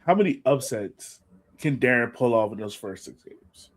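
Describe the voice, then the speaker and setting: A man's voice: mid-range voice, expressive, normal speed. A man co-hosting a podcast, chatting casually about sports in colloquial language and repeating phrases for emphasis. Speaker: podcast co-host.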